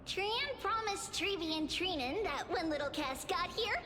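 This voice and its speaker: Game role: cheerful childlike spirit girl, very high voice, slow innocent delivery. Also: expressive, in a large hall. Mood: gentle, earnest. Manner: refers to self by own name, sweet, childish phrasing. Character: cheerful, childlike little girl spirit speaking in third person. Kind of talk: anime-style video game voice acting. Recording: game music behind the voice.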